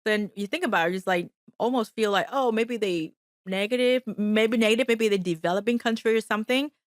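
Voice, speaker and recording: A woman from Vietnam with a Vietnamese accent, a native Vietnamese speaker who is a coffee shop owner and guest on a casual interview, talking haltingly with many "uh"s and restarts. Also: mid-range voice, no room sound, clean studio sound. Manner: halting, non-native grammar, frequent "uh" and restarts.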